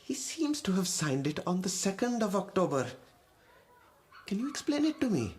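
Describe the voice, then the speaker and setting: An actor playing an embittered moneylender in a radio drama, with a deliberate, insinuating, formally polite menace. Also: male voice, high-pitched, big pitch swings, darkish tone, slight background noise. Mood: cold interrogation. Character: embittered, menacing Indian moneylender and lowly clerk, a father.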